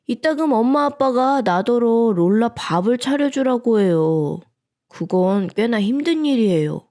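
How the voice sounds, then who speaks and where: A woman hosting a children's storybook channel, gently and playfully talking to young listeners as friends. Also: mid-range voice. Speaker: children's book reader, channel host.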